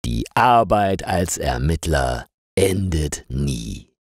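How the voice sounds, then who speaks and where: Slow deep male story narrator. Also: sing-song, dry recording, studio quality. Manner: slow, clearly articulated reading with falling sentence ends. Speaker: narrator of German learning stories.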